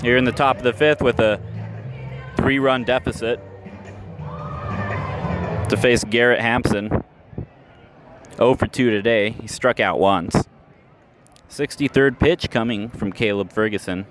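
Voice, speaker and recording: A man calling a minor league baseball game as a radio play-by-play announcer, steady and measured, rising sharply on the big plays. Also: medium pitch, soft background music, a faint crowd. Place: ballpark, outdoors.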